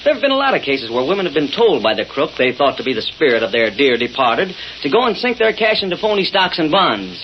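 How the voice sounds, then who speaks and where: An actor playing a brash, slangy, excitable newspaper reporter in a 1930s-style radio drama, speaking emphatically. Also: male voice, on the high side, fast talker, hardly any pauses. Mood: brash, worked up. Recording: muffled, hissy old radio recording.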